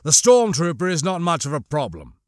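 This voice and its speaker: A man playing a cold, scheming crime lord in an audiobook, mocking and disdainful, with menacingly measured delivery. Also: a little high, sing-song, no room sound, clean studio sound. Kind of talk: audiobook character acting.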